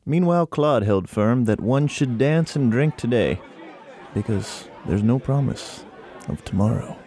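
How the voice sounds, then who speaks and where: A man giving scripted narration for a historical documentary, telling it deliberately like a storyteller. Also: mid-range voice, lively intonation, dark tone, a faint crowd. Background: faint plucked guitar.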